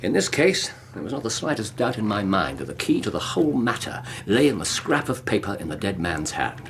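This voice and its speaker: A brilliant English detective in a radio play. His low voice swings expressively as he explains with precise, wry self-satisfaction, over faint background noise. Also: big pitch swings, a little fast, fairly bright tone. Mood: confident, lecturing. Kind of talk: radio drama acting.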